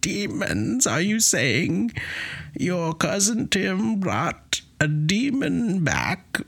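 A high-voiced, eccentric herald from another dimension in a comedy skit. The speech is slow, theatrical and portentous, swinging widely in pitch. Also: male voice, big pitch swings, vocal fry, a bit bright, husky, full character voice. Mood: ominous questioning.

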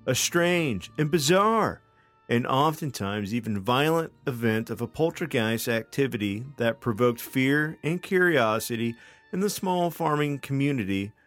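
A man co-hosting a podcast, chatting casually with lots of fillers and laughing asides, then telling a spooky story. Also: mid-range voice. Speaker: podcast co-host.

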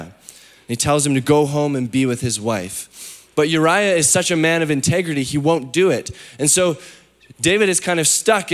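A man preaching a sermon as pastor, hoarse from losing his voice, talking candidly with fillers and restarts. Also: medium pitch. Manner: candid, self-deprecating, many fillers and restarts.

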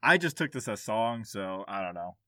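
A man's voice: lowish voice, very animated, normal speed, pushed and bright, clear, resonant tone, dry recording, studio quality. A man hosting a podcast in casual, jokey conversation, swearing freely. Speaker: podcast host. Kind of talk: casual chat.

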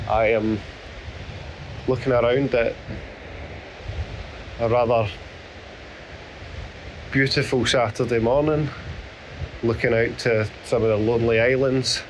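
A middle-aged Scottish man with a hoarse voice, hosting his podcast as a rambling spoken diary while walking on a beach. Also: mid-range voice, poor audio. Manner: rambling, self-deprecating, halting. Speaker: podcast host. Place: outdoors on a beach. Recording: outdoor recording with clear background noise.

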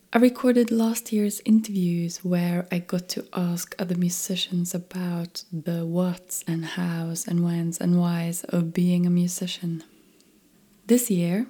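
Female podcast host; unhurried, dark-toned, soft and measured delivery. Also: fairly low pitch. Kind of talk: scripted podcast intro and interview. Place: home in Stockholm.

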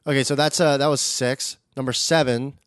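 A man co-hosting a podcast, chatting casually and effusively about an animated show in slangy, informal language. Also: mid-range voice, moderate pace, dry recording, studio quality. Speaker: podcast co-host.

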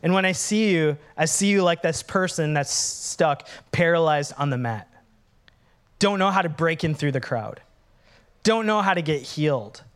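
A man's voice: on the high side, moderate pace, clean tone. A man, preaching a candid, humorous sermon as pastor. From Minnesota, USA. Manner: animated, candid, humorous, acts out dialogues.

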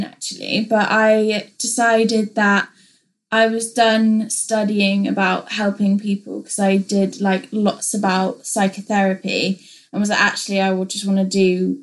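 A woman's voice: mid-range voice, flat delivery, unhurried, low-quality recording. A young woman from England, co-hosting a podcast in a casual, open, chatty way with many fillers. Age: young adult. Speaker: podcast co-host.

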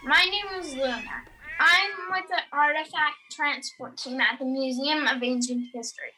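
A woman playing a brisk, breezy museum moving-crew leader in an audio drama, polite and professional with a teasing edge. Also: high voice, sharp tone, rough recording. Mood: polite, businesslike.

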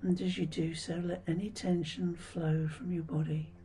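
Female meditation guide: soft and breathy, very mellow, soothing delivery with gentle pauses, music underneath. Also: on the low side, level tone. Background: background music.

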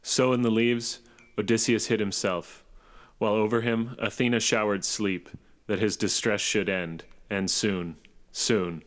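A man narrating epic verse for an audio drama in a hushed, lyrical storytelling manner. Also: lowish voice, steady tone, unhurried, lightly acted.

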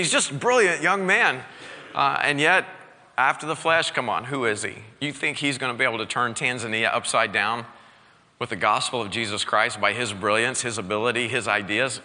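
A male pastor preaching an energetic sermon, exhorting his congregation with call-and-response. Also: mid-range voice, big-room sound.

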